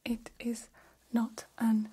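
A woman voicing a gentle, curious female alien in an ASMR roleplay, whispering softly in formal, halting, literal phrases. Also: on the high side, completely flat delivery, heavily acted. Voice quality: soft, breathy whisper. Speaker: ASMR performer.

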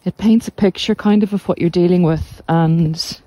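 A middle-aged woman giving reflective, informal podcast advice in a measured, deliberate way with pauses. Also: fairly low pitch, fairly even intonation, warm tone, no echo. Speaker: podcast host and narcissistic abuse recovery coach. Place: walk outdoors along the coast.

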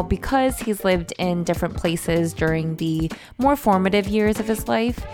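A young adult woman hosts a casual podcast interview, curious and chatty with plenty of fillers. Her voice sits on the low side with a gravelly edge. Faint music plays softly behind her. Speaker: podcast host.

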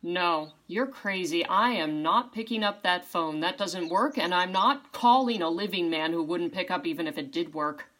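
A woman's voice: lowish voice, moderate pace, few pauses, fairly bright tone. A woman playing a plain-spoken, folksy and stubborn small-town barmaid in a radio play. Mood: stubborn disbelief.

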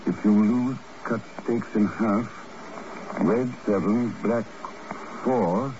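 An actor playing a composed, suave secret agent with a dry, understated manner in a 1950s radio drama. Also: male voice, lowish voice, slow, darkish tone. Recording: muffled, noisy old radio recording.

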